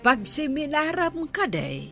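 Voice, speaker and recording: A woman acting as a suspicious mother in an audio drama, questioning her son in a slow, prying, scolding way. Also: sits high, wildly swooping pitch, vocal fry, bright, forceful tone, soft background music, heavily acted.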